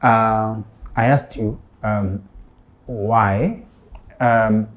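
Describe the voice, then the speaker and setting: A man's voice: on the low side, steady tone, very slow, muffled recording. A man hosting a podcast interview, asking probing questions and pressing his points. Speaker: podcast host.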